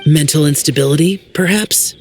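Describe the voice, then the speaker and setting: A man's voice: fairly high pitch, normal speed, natural voice. Man playing a solemn, reserved young guardian. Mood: deadpan, polite. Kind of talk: video game character acting. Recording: faint background music behind the voice.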